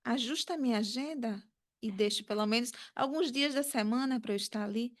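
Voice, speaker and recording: A woman's voice: on the high side, a little fast. A woman with a Brazilian accent hosting a podcast in chatty, conversational talk, often checking in with short tag questions. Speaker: podcast host.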